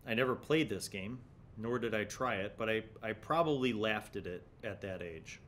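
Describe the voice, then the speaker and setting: A man's voice: moderate pace, fairly bright tone. A middle-aged man gives casual, conversational commentary as host of a gaming review series, thinking aloud. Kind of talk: casual commentary while leafing through a magazine, reading bits aloud. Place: small indoor home studio. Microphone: desk condenser microphone in front of him. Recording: clear low background rumble noise.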